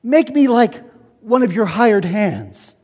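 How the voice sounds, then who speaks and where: A man's voice: high-pitched, expressive, slow, a little mellow, full character voice. A man playing a penitent, desperate young prodigal son in a dramatic Bible reading, pleading. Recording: echoey church hall, long reverb.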